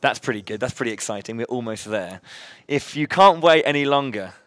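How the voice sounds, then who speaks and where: Male host, mid-range pitch, loud and chatty, upbeat live-event patter. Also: expressive, brisk, no echo. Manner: upbeat, chatty, many fillers and restarts. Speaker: charity marathon host.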